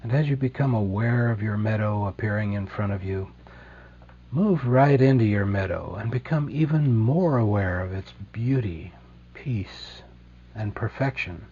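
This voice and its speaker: A man leading a guided meditation in a slow, soothing, gentle way, leaving deliberate pauses between phrases. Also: lowish voice, muffled recording, a little hiss or hum.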